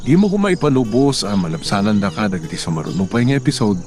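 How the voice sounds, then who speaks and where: A man's voice: fairly low pitch, sing-song, fast, darkish tone. A man announcing in a promotional narration style for a radio drama.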